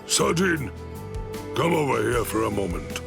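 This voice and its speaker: A deeply creaking, gravelly old commander speaks with formal, measured authority. He is stern yet kindly, an aged head captain from an anime video game. His voice sits at an ordinary male pitch, with prominent music playing behind it. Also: very animated, heavily acted. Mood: gently advising. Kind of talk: scripted anime game cutscene dialogue.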